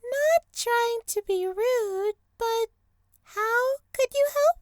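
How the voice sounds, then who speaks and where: A woman's voice: very high voice, slow, dry recording, clean studio sound. A woman voicing a shy young female creature with cute ears, speaking timidly and hesitantly. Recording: loud background hiss.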